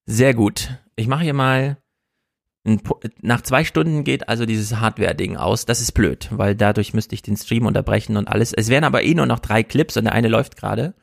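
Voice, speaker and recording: A man's voice: fairly low pitch, fairly quick, creaky, no room sound, studio quality. A man hosting a livestream, giving casual, opinionated political commentary and calling things grotesque again and again. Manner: opinionated, repeats "grotesk", restless. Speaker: livestream host.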